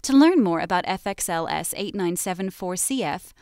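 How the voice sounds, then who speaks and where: A woman giving scripted corporate product narration in an even, measured and clearly enunciated way. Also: lowish voice, heavy background noise. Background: soft background music.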